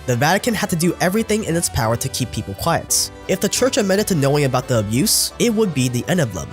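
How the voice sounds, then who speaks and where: Lively sarcastic male narrator, a bit sick. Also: fairly high pitch, fast talker, soft background music. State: sounds sick. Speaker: documentary narrator. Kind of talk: scripted documentary narration.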